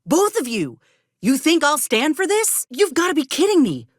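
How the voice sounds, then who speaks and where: An actress playing a tough, guarded, weary former combat medic in a video game, with a folksy, blunt, sardonic way of talking. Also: female voice, sits high, big pitch swings, normal speed, no room sound, studio quality. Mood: angry, indignant. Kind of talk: video game character acting.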